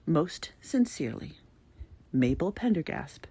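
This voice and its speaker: Woman acting a prim, husky, fussy matron. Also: sits low, sing-song, unhurried, dark tone, full character voice. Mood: fond, firm. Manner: theatrical, proper, emphatic, old-fashioned diction. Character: prim, fussy, easily scandalized old-fashioned matronly lady. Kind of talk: dramatic letter reading.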